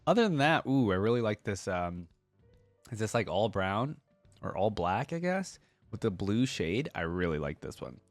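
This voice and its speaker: Guy doing casual reaction commentary, chatty and into it, thinking out loud, really dry close sound. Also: on the low side, very animated, normal speed, no room sound, faint music behind. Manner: enthusiastic, informal, thinking aloud. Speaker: reaction video creator and host.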